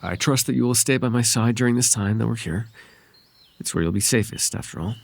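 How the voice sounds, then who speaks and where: A man voicing a gentle, devoted and bashful winged fairy in an ASMR roleplay, speaking tenderly and hesitantly in formal, old-fashioned phrases. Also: mid-range voice, fairly quick, gravelly, no room sound, natural voice. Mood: gentle, reassuring. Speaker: voice actor.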